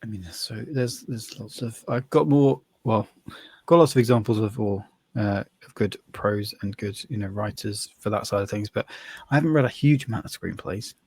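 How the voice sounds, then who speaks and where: A man co-hosts a podcast in a casual, chatty style. His voice is fairly low, with a rough, husky texture. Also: normal speed, a little mellow. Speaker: podcast co-host. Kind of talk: casual chat.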